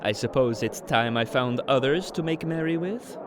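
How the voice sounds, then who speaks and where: A man's voice: medium pitch, animated, moderate pace, crowd noise, close to an everyday voice. A man playing a sly, teasing trickster god in an audio drama, speaking with mock courtesy and formal, mischievous phrasing. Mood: smooth, courtly.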